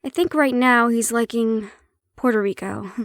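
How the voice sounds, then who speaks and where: Girl voicing a half banshee half siren woman, kinda prickly n teasing, talks right to you, lightly high voice, super dry audio. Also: normal speed, dry recording, natural voice. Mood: candid, wry. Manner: conversational, explanatory, teasing, guarded. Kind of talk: intimate audio roleplay, speaking to the listener.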